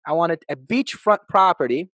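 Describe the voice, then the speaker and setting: A man in his thirties, originally from Iraq, talks through his life story as a podcast guest. He sounds upbeat and lively, and his voice sits a little higher than most men's. The sound is dry and close, with no room echo. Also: normal speed. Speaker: podcast guest, real estate agent. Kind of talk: casual interview storytelling.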